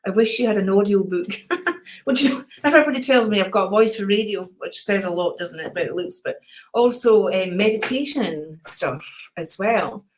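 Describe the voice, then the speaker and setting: An older Scottish woman hosts a live card-reading stream, chatting easily with her viewers. Her voice is calm and soothing, and she keeps slipping in endearments like darling and sweetheart. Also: mid-range voice, moderate pace, rough recording.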